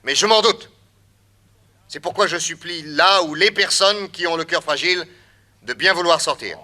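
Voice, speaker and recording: A bright-voiced commanding detective. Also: male voice, on the high side, normal speed. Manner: formal, authoritative address. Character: bold, commanding male detective. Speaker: radio drama actor. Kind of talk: 1960s French radio drama acting.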